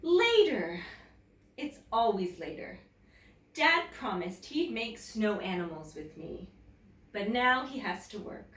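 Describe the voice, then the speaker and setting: A woman teacher reading a picture book aloud to children in an expressive, deliberate, animated way. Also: medium pitch. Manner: expressive, deliberate, addressing young listeners. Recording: roomy, echoey, distant mic, faint noise.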